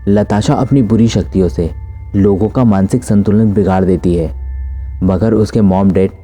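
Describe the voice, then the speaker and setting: Guy narrating a horror story, dark soft-edged voice, bit low, faint music under it. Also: normal speed.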